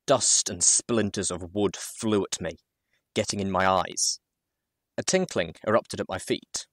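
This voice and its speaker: A man reading a first-person story aloud as a dramatic audiobook narrator, with measured phrasing and deliberate pauses. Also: deep voice, fairly bright tone, no echo.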